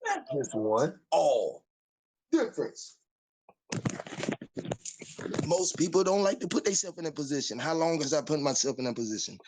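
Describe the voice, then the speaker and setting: A man's voice: on the high side, big pitch swings, brisk, darkish tone, rough recording. A man in a put-on Caribbean island accent, rambling and boasting in profane casual chat on a call-in show.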